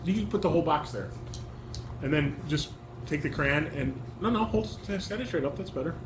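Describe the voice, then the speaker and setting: Older man coaching with a lively voice, roomy sound. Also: a little high, a little fast. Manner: instructing, corrective. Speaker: father directing a home table read. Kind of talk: casual directing and reading stage directions aloud. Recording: roomy home recording with clear background noise.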